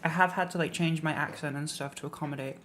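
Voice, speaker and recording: A woman's voice: sits low, steady tone, fast talker, fairly bright tone, slight background noise. A young English woman streaming, chatting casually and rambling with lots of fillers. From England. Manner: rambling, lots of likes and ums, playful rants. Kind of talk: casual livestream chat.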